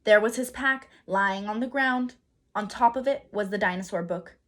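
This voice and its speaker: A woman reading a children's story aloud as a teacher, with expressive, dramatic emphasis. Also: medium pitch. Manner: dramatic emphasis, pauses to quiz listeners.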